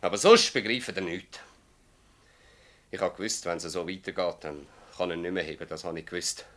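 A man's voice: low voice, fairly quick, a bit bright. A man playing a bitter, defiant master farmer in a radio drama, accusing and emphatic, with a Swiss German accent. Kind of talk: radio drama acting in dialect.

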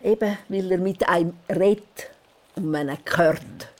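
An older woman with a Swiss German accent playing a gruff, teasing village grandmother in a radio play, curt and matter-of-fact. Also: on the low side, a little slow. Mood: impatient.

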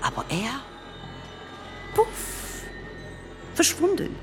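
A woman playing a morbid circus crocodile tamer in a radio play, telling things dramatically with dark deadpan asides. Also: high-pitched, very slow, over music, full character voice. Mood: dramatic, mysterious. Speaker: actress.

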